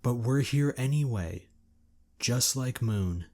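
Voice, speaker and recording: A man playing a gentle, sincere, big-hearted male dragon in an audio drama. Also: mid-range voice, expressive, slow.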